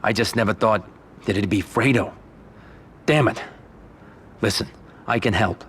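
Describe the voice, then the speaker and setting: An actor playing a loyal, apologetic mafia don in a video game, earnest and deferential, with an American accent. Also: male voice, on the low side, measured pace, fairly bright tone, slight background noise. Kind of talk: video game cutscene acting.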